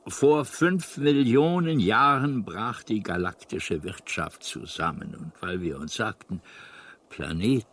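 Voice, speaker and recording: A man's voice: fairly low pitch, fairly bright tone, breathy edge, full character voice. A man playing a kindly, absent-minded old alien planet builder in a radio drama, with a hesitant, meandering delivery. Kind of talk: German radio drama acting.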